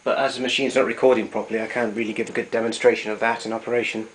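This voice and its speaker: A man's voice: fairly low pitch, level tone, racing. A man giving an informal, hands-on equipment demonstration as a hobbyist, explaining hesitantly and often correcting himself. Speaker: vintage tape recorder hobbyist demonstrating his machine. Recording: clearly noisy recording with clicks.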